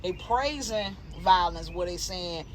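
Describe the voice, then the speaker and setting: Animated Southern woman host in her thirties. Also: lowish voice, slow, background noise. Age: 30s. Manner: animated, slangy, opinionated. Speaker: interview host. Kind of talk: casual interview chat.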